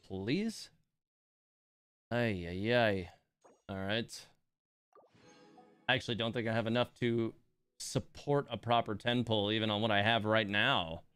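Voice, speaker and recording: A man's voice: lowish voice, a bit bright, clean tone. A man hosting a game livestream in casual chat, muttering exasperated asides to himself and his viewers. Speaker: livestream host playing a gacha game.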